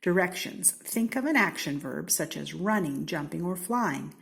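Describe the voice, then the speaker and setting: An American woman from Seattle reading a children's storybook aloud in an expressive, theatrical way, with deliberate, playful emphasis. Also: on the low side, creaky. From Seattle, United States. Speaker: storybook reader.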